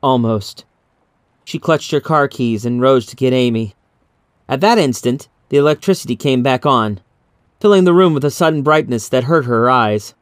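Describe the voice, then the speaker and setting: Male narrator, medium pitch, dry close recording, dramatic horror storytelling. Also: expressive, moderate pace, smooth, no room sound. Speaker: audiobook narrator.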